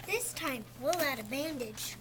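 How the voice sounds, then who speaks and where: A young reader playing a brisk, bossy but helpful female school nurse in a readers theatre, reading slowly. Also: sits high, sing-song. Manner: slow child's reading aloud. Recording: noisy school room recording.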